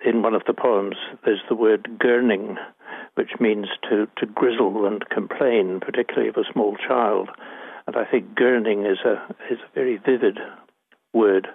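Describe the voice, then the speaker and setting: A man's voice: on the low side, steady tone, moderate pace, gravelly, no echo, narrow, tinny audio. A man in his eighties with a Scottish accent, a poet reading his work and talking about it in an interview. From Northern Ireland. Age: 80s.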